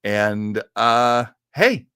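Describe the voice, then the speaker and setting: A man's voice: medium pitch, sing-song, drawn-out words, heavy background noise. A man hosting a casual live stream in a rambling, jokey, exclamatory way. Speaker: host of a live streamed show. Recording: roomy echo with faint music.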